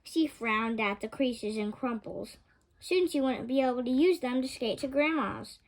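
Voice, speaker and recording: High-pitched woman reading a children's story. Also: measured pace, poor audio. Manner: expressive, dramatic storytelling. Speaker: storytime reader. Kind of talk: children's picture-book read-aloud.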